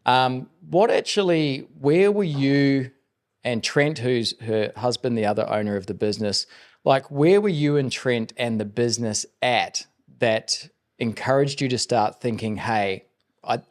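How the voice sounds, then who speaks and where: A man's voice: medium pitch, unhurried. A man hosting a business podcast, upbeat and encouraging, with plenty of ums as he asks questions. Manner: upbeat, encouraging, filler-heavy. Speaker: podcast host and business coach. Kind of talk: podcast interviewing.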